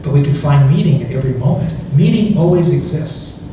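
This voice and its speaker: A man's voice: fairly high pitch, animated, brisk, background noise. Man speaking from far in an echoey hall. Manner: conversational, repeats and restarts, chuckling asides. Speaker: author presenting a book. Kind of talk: book talk to an audience. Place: museum event hall.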